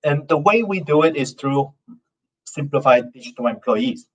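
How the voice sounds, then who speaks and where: Halting male conference speaker, distant mic. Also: fairly high pitch, lively intonation. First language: not Norwegian. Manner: loose, halting delivery with many fillers and restarts. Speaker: conference speaker, company founder. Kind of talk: conference presentation. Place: conference stage. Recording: dull, muffled sound from a distant mic.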